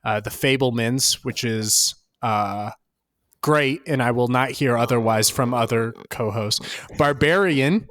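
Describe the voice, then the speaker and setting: A man's voice: medium pitch, measured pace, a bit bright, no echo, studio quality. A man co-hosting a casual movie podcast, full of playful jokes and banter. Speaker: podcast co-host.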